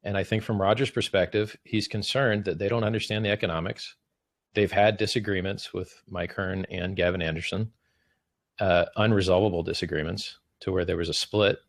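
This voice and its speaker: Deep, gravelly man hosting a debate. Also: fairly even intonation, a little fast, no room sound. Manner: casual, many fillers. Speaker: debate host. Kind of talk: spoken debate, summarizing the other side.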